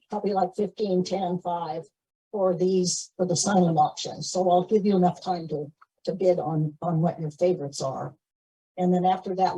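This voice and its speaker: A woman's voice: lowish voice, mellow, breathy edge, no room sound, low-quality recording. A woman organizing a community event, giving informal announcements to a gathering.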